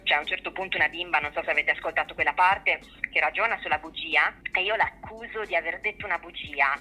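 A woman's voice: on the low side, fast talker, bright, forceful tone, low-quality recording. A woman giving thoughtful, explanatory answers in an interview about her work as a theatre artist. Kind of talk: reflective spoken interview answers. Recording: music behind the voice. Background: music.

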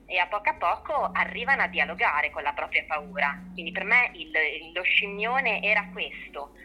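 A woman's voice: lowish voice, lively intonation, normal speed, sharp tone, slight background noise, poor audio. A woman giving reflective, explanatory interview answers, repeating phrases as she works through her thought. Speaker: theatre artist being interviewed.

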